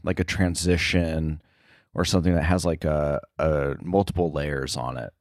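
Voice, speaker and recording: A man with a low voice talks as a podcast guest in a casual interview. He is hesitant, thinking aloud as he goes, and the sound is close and dry. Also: steady tone, moderate pace, a little mellow, no echo.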